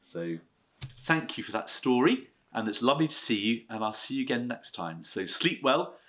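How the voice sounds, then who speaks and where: A man in the role of a headteacher, reading a children's story with animated narration. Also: medium pitch, moderate pace.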